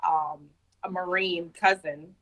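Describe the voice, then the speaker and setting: A woman from Jamaica, raised in Brooklyn, talking as a podcast guest in an unhurried, reflective way. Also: fairly low pitch, fairly even intonation, a bit bright, low-quality recording. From Jamaica, raised in Brooklyn, New York. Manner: unhurried, reflective storytelling with light laughs. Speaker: podcast guest, Navy senior chief. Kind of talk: casual interview conversation. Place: home, remote call from abroad.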